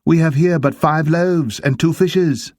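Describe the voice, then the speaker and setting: A man's voice: a little high, measured pace, darkish tone, dry recording, studio quality, voice pushed up, natural voice. A man voicing an agitated, fearful disciple with an urgent, pleading manner in a dramatised scripture reading. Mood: anxious.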